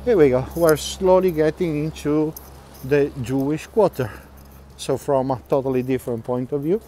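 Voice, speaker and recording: Guy streaming a walk outdoors, mellow voice, lots of uhs and little laughs. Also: mid-range voice, slight background noise. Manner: chatty, laughs often, many fillers and restarts. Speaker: walking tour guide. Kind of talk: live-stream walking tour, reading viewer comments aloud. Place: outdoors, quiet canal-side streets.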